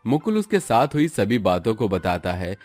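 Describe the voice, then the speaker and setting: A man's voice: fairly low pitch, big pitch swings, normal speed, a little mellow, soft background music. A man narrating a scripted moral story as the storyteller.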